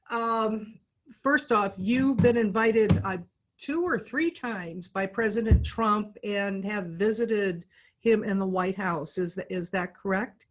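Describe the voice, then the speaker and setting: A woman hosts an informal political interview. Her voice sits at an average pitch for a woman. She speaks effusively and supportively, in the role of an interviewer on the guest's side. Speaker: interviewer and host.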